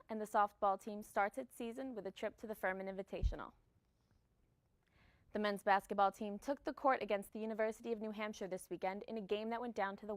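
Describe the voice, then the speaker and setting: A young adult woman working as a student news anchor, delivering scripted campus news. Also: medium pitch.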